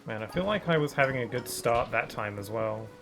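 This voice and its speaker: A man streaming a game, chatting casually and thinking aloud, a bit sniffly from hay fever, with bursts of excitement. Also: medium pitch, fairly quick, music in the background. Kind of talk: casual gaming commentary.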